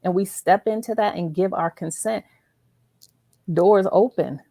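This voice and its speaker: A woman's voice: mid-range voice, a little slow, creaky, warm tone, dry recording, studio quality. A woman hosting a faith-based interview, talking earnestly and encouragingly, with emphatic check-ins like a teacher making points. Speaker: show host interviewing an author.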